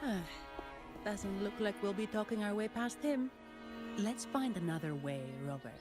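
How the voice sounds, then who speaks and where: A woman voicing a calm, practical, lightly wry young adventurer in a video game. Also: medium pitch, normal speed, over music, lightly acted. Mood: resigned. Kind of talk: English video game voice acting.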